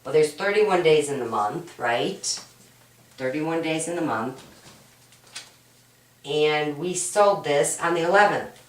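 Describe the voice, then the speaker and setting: A woman with a low, hoarse, raspy voice from a cold walks through homework problems one step at a time. She is patient and keeps checking in with "right?". The recording sounds roomy and distant, as if picked up from across the room. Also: animated, slow, pauses often. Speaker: accounting instructor. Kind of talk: homework walkthrough lesson. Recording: roomy echo, mic at a distance.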